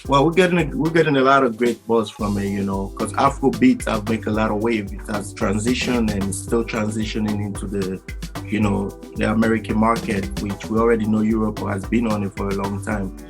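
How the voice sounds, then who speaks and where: A man's voice: fairly low pitch, fairly even intonation, brisk, over music. A man from Sierra Leone, a musician, talking in a laid-back, playful way as a guest in a casual interview.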